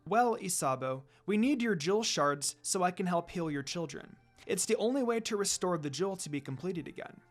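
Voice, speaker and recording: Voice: mid-range voice, lively intonation, a little fast, hardly any pauses, natural voice. Someone reading as a caring young healer girl, earnest n kind, story reading with faint music.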